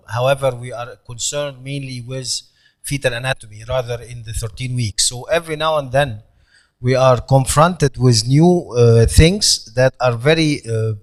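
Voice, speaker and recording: A middle-aged man with an Egyptian accent giving a conference lecture, pausing often with fillers. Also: mid-range voice, a bit bright. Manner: hesitant, many fillers, non-native phrasing. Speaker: professor lecturer.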